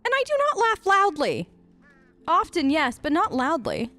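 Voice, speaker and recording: A woman's voice: sits high, huge pitch swings, moderate pace, sharp tone, faint music behind. A woman hosting a gaming video, improvising in-character replies in a sarcastic, conversational way on a close mic. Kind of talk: improvised in-character role-play let's play.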